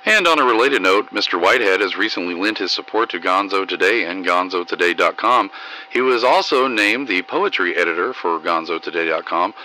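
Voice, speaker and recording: A man hosting, reading scripted material in a declamatory, deliberate way. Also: sits low, bright, forceful tone, a little hiss or hum, rough recording. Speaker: host. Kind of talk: scripted news narration and poem reading.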